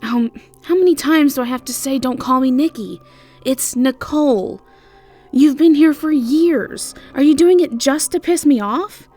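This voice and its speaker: A woman voicing a brisk, chatty, easily irritated witch innkeeper in an audio drama, speaking casually with hesitations and swearing. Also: high voice, mellow, faint music behind, voice pushed up. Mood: exasperated. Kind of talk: audio drama character acting.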